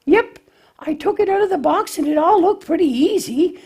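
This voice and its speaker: A man's voice: very high voice, lively intonation, normal speed, darkish tone, pitched above the performer's own voice. A man voicing a naive, eager, bumbling young lamb puppet in a children's show, with a hesitant, folksy, childlike way of explaining. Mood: puzzled.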